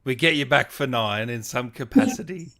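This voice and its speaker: Bright-voiced man hosting a casual interview. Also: medium pitch, moderate pace, creaky. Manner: informal, chatty, tag questions. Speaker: podcast host, interviewer. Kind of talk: casual interview, reading out viewer messages.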